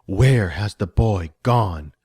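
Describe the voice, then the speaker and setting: A man voicing a cruel, commanding sorceress who speaks in slow, menacing demands. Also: fairly low pitch, expressive, darkish tone, slightly rough, dry recording, clean studio sound, full character voice.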